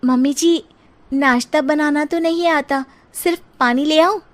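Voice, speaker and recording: A woman's voice: high-pitched, a little slow, pitched above the performer's own voice. A woman voicing a vain, helpless young daughter-in-law in a story, with a plaintive, whiny manner. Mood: sheepish.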